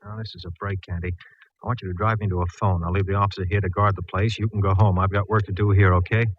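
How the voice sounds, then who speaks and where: An actor playing an easygoing homicide inspector in a 1940s radio detective drama, dryly joking and familiar in manner. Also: male voice, low voice, little pitch movement, fast talker, breathy edge, no room sound. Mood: businesslike. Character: easygoing, dry male homicide police inspector. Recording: muffled, faintly hissy old radio recording.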